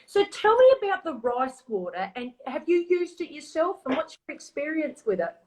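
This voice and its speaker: A high-voiced Australian woman hosts a casual live-stream chat, easygoing and full of fillers. Her voice rings around an echoey room. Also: very animated, normal speed. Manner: chatty, filler-heavy, easygoing. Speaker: host.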